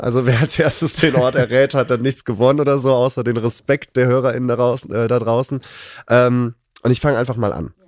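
A man hosting a casual chat, relaxed and hesitant, with many fillers. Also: medium pitch, dry recording, clean studio sound. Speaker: podcast host.

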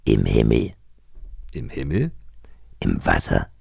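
A man voicing a wise ancient tree of life, speaking slowly in a deep, intoned voice. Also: big pitch swings, vocal fry, a little mellow, breathy edge, no room sound, clean studio sound, full character voice. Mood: solemn. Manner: slow, drawn-out, oracle-like delivery.

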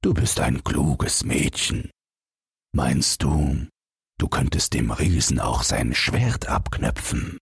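A storybook king, as a male narrator reads him, very deep and husky. He speaks in a measured, stately way, commanding yet approving. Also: very animated, no room sound, clean studio sound, exaggerated voice. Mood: measured approval. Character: old-world king, approving and commanding, offering a bargain.

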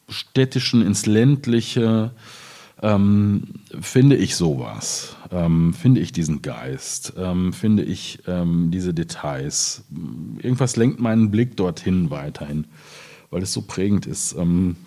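A man's voice: on the low side, slow pace, warm tone. A man speaking as a poet in a spontaneous literary conversation, reflective and often searching for his words. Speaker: poet in discussion.

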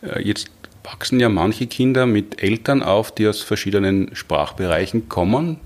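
A man's voice: lowish voice, expressive, moderate pace. A man hosting a podcast, asking long, curious questions. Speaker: podcast host. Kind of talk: conversational interview.

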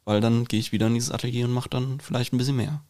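A man's voice: medium pitch, fairly even intonation, very fast, warm tone. A man talking in a casual podcast chat, reflective and informal, with English slang mixed in. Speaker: podcast host and painter.

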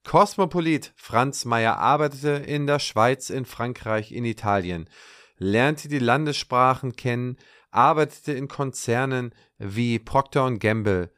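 A man hosting a podcast, reading a scripted introduction in a measured way and then asking questions in casual conversation. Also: mid-range voice, a bit bright. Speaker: podcast host.

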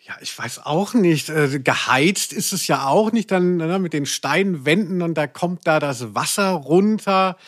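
A man's voice: on the high side, animated, a little slow, hardly any pauses, a bit bright, no room sound, clean studio sound. A man chatting casually as a podcast co-host, digressing hesitantly as he goes. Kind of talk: casual chat.

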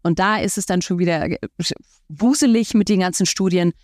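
A woman's voice: fairly low pitch, a little fast, darkish tone, no room sound, studio quality. A woman speaking as an expert podcast guest, explaining her points conversationally with everyday examples.

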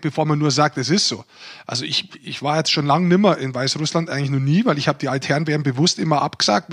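A man's voice: fairly high pitch, a bit bright. A man co-hosting a podcast, giving opinions colloquially and repeating phrases to make points. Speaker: podcast co-host. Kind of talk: casual opinionated discussion.

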